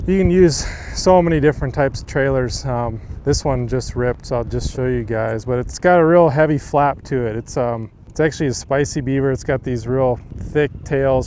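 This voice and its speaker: A man in his thirties, a pro angler, explaining fishing technique in a relaxed, easygoing way from an open boat on a lake. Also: fairly high pitch, slight background noise. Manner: relaxed, easygoing, many fillers. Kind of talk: on-the-water fishing instruction.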